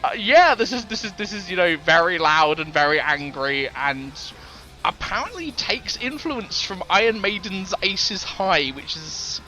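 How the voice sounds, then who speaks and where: A man's voice: high-pitched, sing-song, measured pace, pushed and bright, low-quality recording. A man co-hosting a casual music podcast, rambling with many fillers and restarts and laughing easily. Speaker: podcast co-host. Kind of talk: casual chat about music.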